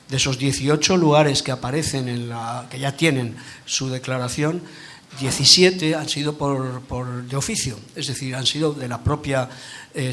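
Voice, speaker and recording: A man's voice: medium pitch, a bit bright. Man, mid-range voice, critical and precise, conference panel remark. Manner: critical, precise, building an argument. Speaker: panel participant.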